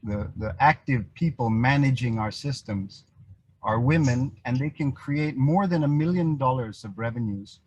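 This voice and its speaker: A man from Indonesia giving a prepared introduction as a webinar panelist, in a halting way with pauses and repeated words. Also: medium pitch, darkish tone, breathy edge, dry recording, muffled recording. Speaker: business representative, webinar panelist. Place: online video call.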